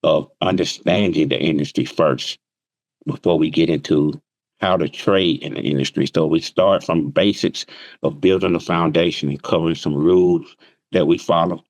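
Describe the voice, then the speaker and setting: A man's voice: extremely low pitch, steady tone, moderate pace, no echo, rough recording. A man teaching trading, explaining plainly with everyday examples on a remote call. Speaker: trading instructor. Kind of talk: casual interview answers.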